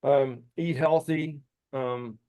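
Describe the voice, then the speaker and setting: A man's voice: medium pitch, fairly even intonation, slow, mellow, clear, resonant tone, no room sound. A man in his fifties, a singer and podcast guest, chatting casually and enthusiastically with lots of restarts. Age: 50s. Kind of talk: casual chat.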